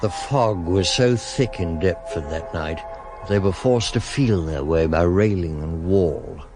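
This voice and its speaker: The storyteller of a radio crime thriller, with a very low voice. He is measured and suspenseful, over prominent music. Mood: grave, suspenseful. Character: male narrator of a foggy crime thriller. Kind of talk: dramatic scripted narration, 1970s radio drama. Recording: radio drama with loud music underneath. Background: loud music.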